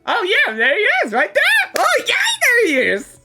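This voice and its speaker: A woman's voice: very high voice, huge pitch swings, moderate pace, loud music behind the voice. A woman giving casual, informal reaction commentary as she plays through a game, exclamatory and giggly. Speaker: gaming reaction streamer.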